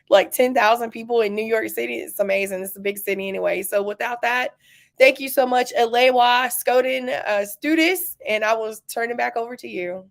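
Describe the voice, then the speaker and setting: A woman's voice: mid-range voice, vocal fry. A woman from North Carolina, a community organizer, speaking earnestly and with passion to a virtual rally. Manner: earnest and impassioned.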